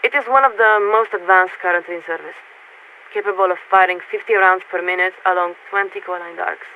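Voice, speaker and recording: A woman playing a poised, politely bureaucratic military signaler in a video game, speaking formally and in measured phrases through a radio filter. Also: fairly low pitch, fairly even intonation, sharp tone. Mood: assured, lofty. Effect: radio transmission filter. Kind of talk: scripted video game character dialogue. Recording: narrow radio band with static noise.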